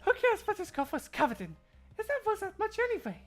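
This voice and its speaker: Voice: very high-pitched, wildly swooping pitch, quick pace, voice pushed up, full character voice. A performer voicing a scornful, combative accuser in a game fandub, mocking and insistent. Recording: music behind the voice.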